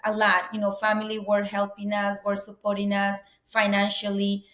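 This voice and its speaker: Woman, earnest storyteller, mid pitch, echoey room. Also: monotone, normal speed. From Colombia. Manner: earnest, warm storytelling with frequent "you know" fillers. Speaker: guest, business owner. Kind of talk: interview conversation. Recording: roomy echo.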